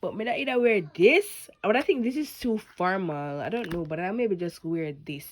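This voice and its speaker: A woman's voice: mid-range voice, animated, moderate pace. Teen woman vlogger, Jamaican accent, casual and rambling, slips into patois. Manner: chatty, rambling, drifts into patois. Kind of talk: casual vlog chat to camera.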